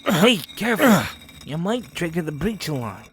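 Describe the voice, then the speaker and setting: Man acting a cheeky, high-voiced convict. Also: very animated, moderate pace, slight background noise. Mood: sly, hushed. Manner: colloquial, flippant. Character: scrappy, cheeky male convict, sly schemer. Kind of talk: audio drama acting. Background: music.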